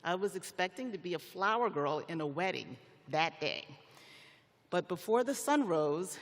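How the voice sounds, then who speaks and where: Middle aged guy doing a grad ceremony speech, kinda high voice, careful and formal, big echoey arena. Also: a bit bright. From Liberia. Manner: measured, formal, deliberate phrasing. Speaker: commencement speaker, federal magistrate judge. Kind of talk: prepared commencement speech. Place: large indoor arena, on stage at a ceremony. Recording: long reverb of a large arena.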